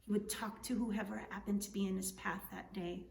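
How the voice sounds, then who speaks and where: A woman narrates a tale in an expressive storybook style, as an author reading aloud. The room echoes around the voice. It sits slightly away from the mic, with faint noise behind. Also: medium pitch, fairly quick, creaky, far from the mic. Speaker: author reading their book.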